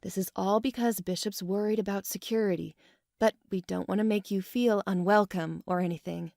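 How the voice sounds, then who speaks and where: A woman's voice: mid-range voice, steady tone, normal speed, vocal fry, darkish tone, no room sound, studio quality, close to an everyday voice. Woman acting a breezy, reassuring wasteland patroller. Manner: breezy, soothing, familiar. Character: friendly, chatty, reassuring female wasteland patroller. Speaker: voice actor. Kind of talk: audio drama acting.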